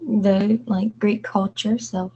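A woman's voice: medium pitch, fairly even intonation, slow, dark tone, slightly rough, muffled recording. Chatty young woman from Virginia. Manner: chatty, many fillers. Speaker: student guest. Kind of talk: casual chat.

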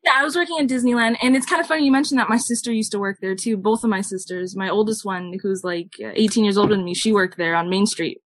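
A woman's voice: mid-range voice, steady tone, quick pace, hardly any pauses, no echo. Dry-sounding young woman musician. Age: 20s. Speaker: musician, podcast guest. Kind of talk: casual interview chat.

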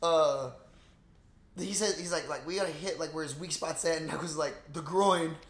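A man with a fairly high voice talks in a roomy, echoey space. He chats casually and animatedly as a podcast co-host, full of slang and swearing, often addressing his friend as "bro.". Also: moderate pace. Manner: slangy, profane, peppers talk with "bro". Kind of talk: casual, animated movie recap chat.

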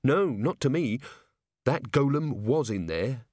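A man voicing a sharp, dry-witted female watch officer with a matter-of-fact manner in an audiobook. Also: mid-range voice, lively intonation, slow pace, no echo, voice pushed up. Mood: dry, curious.

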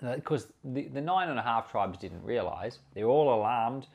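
Man, pastor, animated intonation, earnest and conversational, scripture reading and commentary, repeats for emphasis. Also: mid-range voice, normal speed, vocal fry, breathy edge. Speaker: pastor and podcast host.

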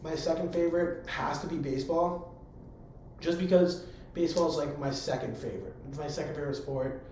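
Hoarse young man in an echoey room. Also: fairly high pitch, steady tone, fairly quick, darkish tone. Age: young adult. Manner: eager, rambling, filler-heavy. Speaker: college public address announcer. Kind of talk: casual interview answers. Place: room on a college campus. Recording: very echoey room, faint background hum.

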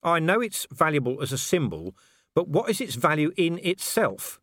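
A man's voice: on the high side, big pitch swings, normal speed, fairly bright tone, no room sound, studio quality, voice pushed up. A man voicing a weary, sceptical policeman in an audiobook, blunt and wry as he questions. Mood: questioning, patient. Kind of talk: audiobook character acting.

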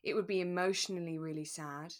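A woman, chatting casually and in a relaxed way as a podcast co-host. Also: fairly low pitch, fast talker. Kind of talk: relaxed casual chat about a radio drama.

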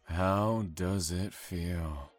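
Man playing slow, taunting, gleeful serial killer. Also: sits low, dry recording, faint music behind, heavily acted. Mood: cold menace. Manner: drawling, mockingly polite, taunting. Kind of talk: audio drama acting.

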